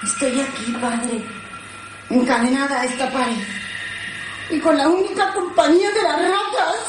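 An actress playing a feverish chained daughter turning zombie, pleading in plaintive, drawn-out speech in a radio drama. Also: female voice, high-pitched. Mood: pleading, hungry. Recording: roomy echo, noisy background.

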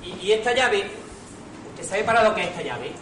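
A man's voice: sits high, very animated, a little fast. A man as hapless, bumbling servant, echoey sound. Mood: bewildered. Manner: hesitant, bumbling. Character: hapless, chatty servant Román posing as gentleman. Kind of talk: amateur radio farce acting. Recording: echoey, noisy amateur recording.

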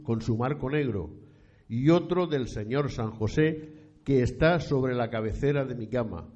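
A man playing an elderly, solemn 18th-century landowning priest in a period radio play, speaking formally and deliberately. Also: mid-range voice, a little mellow, close to an everyday voice. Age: older. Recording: dull lo-fi sound.

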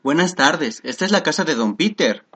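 A woman acts an earnest young suitor in a radio soap. He speaks politely and formally, in a voice that is low for a woman. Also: animated, normal speed, a bit bright, husky, exaggerated voice. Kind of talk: Spanish-language radio soap acting.

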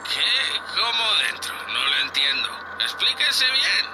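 A man's voice: high voice, sing-song, takes it slowly, over music, full character voice, low-quality recording. A man with a Castilian Spanish accent playing a nervous, deferential young spaceship crewman in a sci-fi audio drama. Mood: bewildered, alarmed. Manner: hesitant, anxious, deferential, full of questions.

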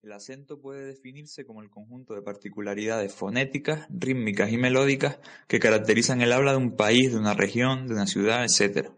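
A man's voice: medium pitch, steady tone, brisk, few pauses, fairly bright tone. A man reading out a dictionary-style definition in a formal, didactic way.

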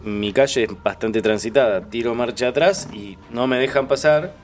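A man's voice: medium pitch, moderate pace, soft background music. A man with a Rioplatense Argentine accent chatting casually among friends in a colloquial, wry way. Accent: Rioplatense Argentine Spanish. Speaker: participant in a chat among friends. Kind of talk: casual chat.

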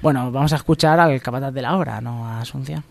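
A man's voice: medium pitch, fast talker, vocal fry, no room sound. A man co-hosting a comedic news segment on the radio, speaking in a wry, teasing way. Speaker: radio show co-host. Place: radio studio.

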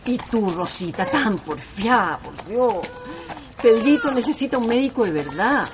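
A woman's voice: a little high, animated, unhurried, noisy background. A woman playing a caring, insistent city woman in a radio drama, with a Chilean accent and an earnest, urging delivery. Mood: worried. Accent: Chilean Spanish.

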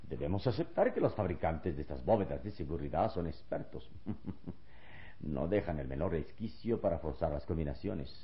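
Calm, wise adventure hero in vintage radio drama; very low voice, deliberate delivery, dull lo-fi recording. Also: male voice. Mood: calm, reasoning. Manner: calm, deliberate, reassuring elder to a boy. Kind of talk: vintage Spanish-language radio serial acting.

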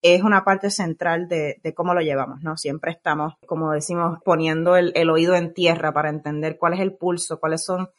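Thoughtful Puerto Rican woman, lowish voice. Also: fairly even intonation. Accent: Puerto Rican Spanish. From Puerto Rico. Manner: thoughtful, many filler pauses and restarts. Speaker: guest, science communicator. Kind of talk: casual interview conversation.

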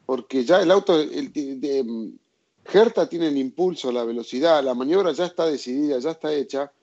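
A man's voice: mid-range voice, normal speed, mellow. A man breaking down a racing maneuver in a casual chat, explaining in a methodical, technical way. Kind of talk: casual technical race analysis. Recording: dull lo-fi sound.

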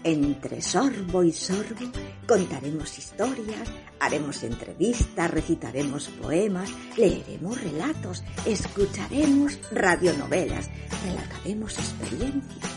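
A woman with a Spain Spanish accent reading a scripted radio introduction in a deliberate, inviting manner. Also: on the low side, big pitch swings. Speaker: radio programme presenter. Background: music.

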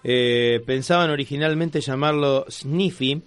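A man's voice: medium pitch, a little slow, clear, resonant tone. An Argentine man hosting a casual radio magazine show, chatting in a jokey, rambling way. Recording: music playing under the voice.

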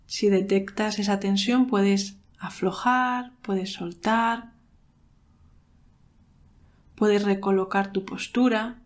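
Calm woman guiding a meditation. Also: medium pitch. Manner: calm, unhurried, soothing, with pauses. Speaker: meditation guide, podcast host. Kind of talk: guided meditation.

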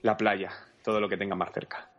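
A man's voice: on the low side, level tone, brisk, dull audio. Madrid man in interview, music behind. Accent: Madrid Spanish. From Madrid, Spain. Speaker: interview guest, entrepreneur. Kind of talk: conversational interview answers.

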